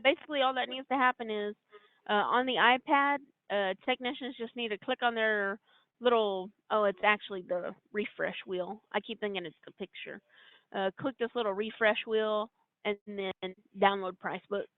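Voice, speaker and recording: A woman's voice: mid-range voice, steady tone, moderate pace, no echo. A woman giving an informal, step-by-step walkthrough as a trainer on a conference call. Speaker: trainer explaining software steps. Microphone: conference call line. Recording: narrow, tinny conference-call line.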